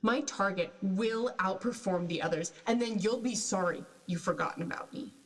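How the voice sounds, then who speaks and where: A woman's voice: mid-range voice, moderate pace, close to an everyday voice. A woman playing a resentful, ambitious agent sending formal, clipped reports home in a science fiction radio drama. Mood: defiant, angry. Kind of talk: sci-fi radio drama monologue. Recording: distant, long reverberant hall.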